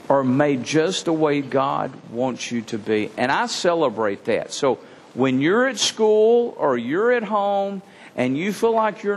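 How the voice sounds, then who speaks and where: Man, children's pastor, lively up-and-down delivery, fairly high voice, long hall reverb. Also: gravelly, slight background noise. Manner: animated, earnest, speaking directly to kids. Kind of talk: children's sermon. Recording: hall reverb with faint ambience.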